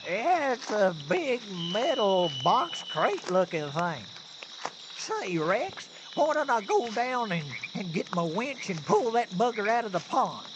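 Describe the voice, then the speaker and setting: An excitable Southern country fellow in a comic radio play. His folksy, grumbling hick talk swoops up and down, with clear noise of nature effects behind it. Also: high voice, takes it slowly, full character voice. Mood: folksy, eager. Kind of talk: old-time radio comedy drama acting.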